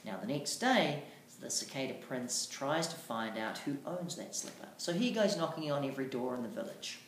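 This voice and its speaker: A teacher giving an instructional lesson on reading aloud, speaking deliberately and emphatically with marked pauses. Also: mid-range voice, animated. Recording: echoey room, faint background noise.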